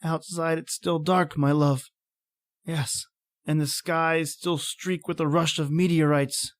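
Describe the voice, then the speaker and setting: A man playing a desperate, grieving man in an old-time horror radio drama, overwrought and halting. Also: on the high side, flat delivery, no echo, clean studio sound. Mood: grieving, horrified. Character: anguished desperate man, grieving, defiant against a monster.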